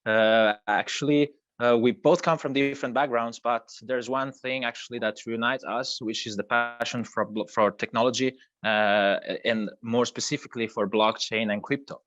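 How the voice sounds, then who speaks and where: An Italian-native man talks through his work at a moderate pitch, stopping and restarting his sentences often. The audio is dull and lo-fi, with no room sound at all. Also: fairly even intonation, moderate pace, hardly any pauses, a bit bright, dry recording. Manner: hesitant, many fillers and restarts. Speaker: crypto project co-founder guest. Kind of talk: online video interview.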